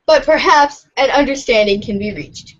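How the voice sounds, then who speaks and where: A high-pitched woman reads comic narration out loud in an informal, giggly manner. The recording is a little dull and lo-fi, like a hobby podcast. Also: moderate pace, a little hiss or hum. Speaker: reader on a fan podcast. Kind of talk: animated read-aloud of webcomic narration.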